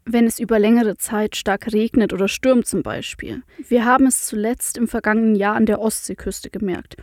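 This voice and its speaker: A woman with a Franconian accent presenting the news and speaking in a clear, measured way, with a rolled R. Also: a little high, fairly even intonation, a little mellow, dry recording, studio quality.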